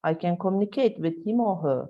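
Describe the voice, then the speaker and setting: A woman from Turkey with a Turkish accent giving a webinar lecture, halting with frequent fillers and asking "right?" to check in with listeners. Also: fairly low pitch, expressive. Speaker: university lecturer. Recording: dull lo-fi webinar audio.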